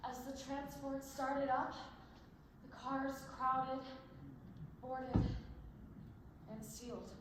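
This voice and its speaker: Woman playing sorrowful girl, high voice, dramatic pauses, stage monologue, far from mic. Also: flat delivery. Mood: grieving. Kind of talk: solemn stage monologue in a one-act play. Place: large echoing indoor performance space, far mic. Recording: distant echoey stage recording with loud noise.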